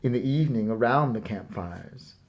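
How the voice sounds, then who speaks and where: A man reading a children's story aloud as scripted narration, with a measured, dramatic storyteller's delivery. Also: mid-range voice, expressive, lo-fi sound. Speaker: storyteller reading a picture book.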